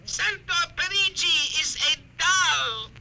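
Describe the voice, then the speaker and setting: A shrill, minuscule doll creature speaks as an imperious alien commander. The voice is extremely high, precise and menacing. It sits in heavy noise from an old radio science fiction recording. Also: expressive, takes it slowly, vocal fry, exaggerated voice. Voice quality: shrill, piping, tiny voice.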